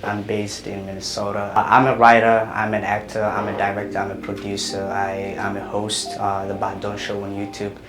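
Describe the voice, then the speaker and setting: Soft-spoken man, roomy sound. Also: fairly low pitch, completely flat delivery, moderate pace, hardly any pauses. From Somalia. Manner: hesitant, many fillers. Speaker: interviewee, writer and actor. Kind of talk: casual interview. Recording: roomy with loud music behind.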